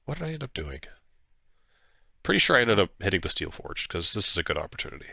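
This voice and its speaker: Stuffed-up guy with a flu, low gravelly voice, rambling through his tabletop game, dry close mic. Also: big pitch swings, quick pace, bright, forceful tone, dry recording, highs cut off. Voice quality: nasal, stuffed-up. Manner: thinking aloud, hesitant with fillers. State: congested from a flu. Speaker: player narrating his own battle report. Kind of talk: casual play-by-play commentary.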